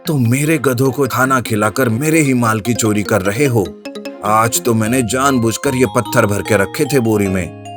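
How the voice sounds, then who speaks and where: Naive, kind-hearted cartoon cart driver played by a man: earnest, emotive, mid-pitched, with music behind. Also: measured pace, lightly acted. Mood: determined. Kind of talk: animated storybook character voice.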